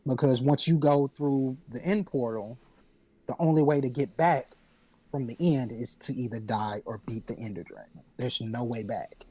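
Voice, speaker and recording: A man's voice: mid-range voice, normal speed, mellow, slightly rough, low-quality recording. A man on a casual panel podcast, explaining video game lore earnestly and at length.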